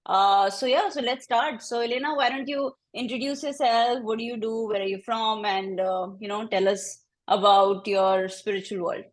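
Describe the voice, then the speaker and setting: A woman hosting an interview podcast, asking questions in an informal, halting way. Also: on the high side, fairly even intonation. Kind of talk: casual interview.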